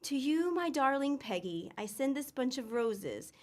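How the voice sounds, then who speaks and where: A woman's voice: sits high, big pitch swings, a little slow, a little mellow. An actress playing a sweet, earnest young woman in an old-time radio comedy, telling a story and pleading. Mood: fond.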